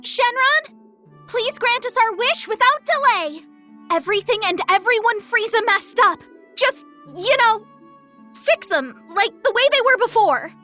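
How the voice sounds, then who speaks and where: High-pitched, spirited little goddess leader. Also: female voice, lively intonation, bright, forceful tone, faint music behind, full character voice. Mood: relieved, pleading. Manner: brisk, cheeky, earnest authority. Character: bossy, spirited small female deity commanding time patrollers. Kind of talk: English anime game dub acting.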